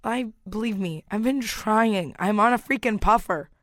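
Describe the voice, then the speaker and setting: A young woman co-hosting a radio show, her voice raspy from the flu, giggly and chatty in casual banter. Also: mid-range voice, normal speed, a bit bright, dry recording, clean studio sound. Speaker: radio co-host. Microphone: studio microphone.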